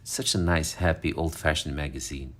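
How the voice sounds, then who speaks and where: A man's voice: a bass voice, fairly even intonation, normal speed. A man from Morocco, talking casually and fondly as he leafs through an old magazine, rambling with fillers and restarts. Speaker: vlogger. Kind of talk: casual show-and-tell vlog.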